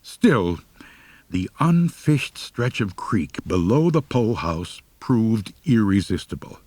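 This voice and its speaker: A man's voice: lowish voice, very animated, takes it slowly, darkish tone, no room sound. A man narrating a humorous first-person story as an audiobook reader, with a wry, mock-serious delivery.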